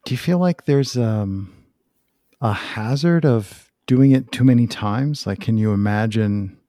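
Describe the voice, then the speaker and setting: A man's voice: medium pitch, a little slow, mellow, dry recording, studio quality. A man hosting an interview in a casual, conversational style. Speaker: interviewer, host.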